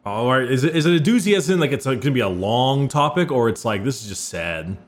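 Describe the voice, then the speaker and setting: A man's voice: medium pitch, expressive, quick pace. A man hosting a podcast, opening the show and chatting casually with crude jokes. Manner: crude, joking. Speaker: podcast host. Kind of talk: casual chat.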